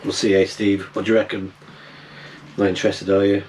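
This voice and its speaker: A middle-aged man chatting casually as he tinkers, halting and thinking aloud, recorded indoors on a camera mic. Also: fairly low pitch, level tone, a little mellow, slight background noise. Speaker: amateur radio vlogger. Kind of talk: casual vlog chat while tinkering and unboxing. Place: small wooden cabin, indoors.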